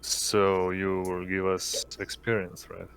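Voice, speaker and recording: Lowish voiced guy playing a tabletop game, talks slow and halting, casual chat. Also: monotone, gravelly, fairly bright tone, clean tone, dry recording, low-quality recording.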